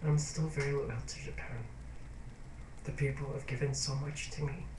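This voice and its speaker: A man of middle pitch, rambling through a personal video diary. He hesitates, circles back and sounds sentimental and confiding. The room gives his voice a noticeable echo, with faint background noise. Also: flat delivery, normal speed, far from the mic. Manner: rambling, hesitant, full of fillers and restarts. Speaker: YouTube vlogger. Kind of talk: casual diary vlog. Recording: roomy echo.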